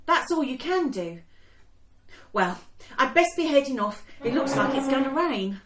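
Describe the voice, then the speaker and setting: Woman acting a high-voiced timid young woman. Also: lively intonation, unhurried. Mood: comforting. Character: timid, kindly, obedient young British woman. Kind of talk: period war radio drama acting. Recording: echoey with faint noise.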